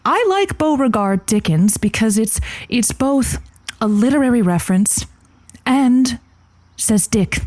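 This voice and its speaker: A woman playing a game but halting advice-show host in improvised radio comedy, repeating herself and using showily learned words. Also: a little high, lively intonation, a little mellow, no room sound. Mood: game, amused.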